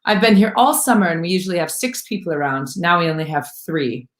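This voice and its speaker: A woman voicing an excited young Mexican woman who works at a hostel, speaking in a gushing, high and loud way. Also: quick pace, natural voice. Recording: roomy echo.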